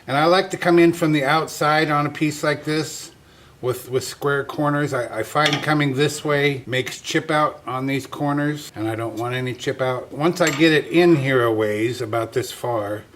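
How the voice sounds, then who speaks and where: A man's voice: medium pitch, moderate pace, a bit bright, a little hiss or hum. A man hosting a woodturning demonstration, talking casually in a folksy, plainspoken way as he explains each step. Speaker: woodturning channel host and instructor. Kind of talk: casual workshop demonstration talk.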